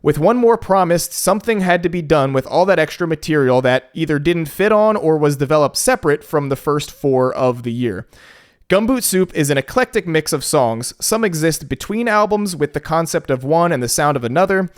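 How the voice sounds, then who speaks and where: Male co-host, fairly high and smooth, loose joking delivery with lots of fillers. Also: expressive, moderate pace. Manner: rambling, joking, frequent fillers. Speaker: podcast co-host. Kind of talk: casual podcast chat.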